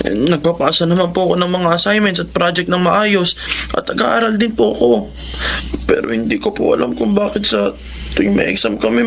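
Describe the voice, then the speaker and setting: High-pitched, creaky, dutiful Filipino son. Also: male voice, a little hiss or hum. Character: dutiful young eldest son, humble and respectful. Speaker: voice actor in a radio drama. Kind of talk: Filipino radio drama acting.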